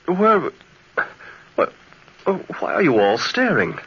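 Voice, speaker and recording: An actor playing a bewildered, boyish, lovestruck young lieutenant, exclaiming in 1940s radio drama style. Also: male voice, fairly high pitch, wildly swooping pitch, slow pace, breathy edge, full character voice. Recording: dull old radio recording.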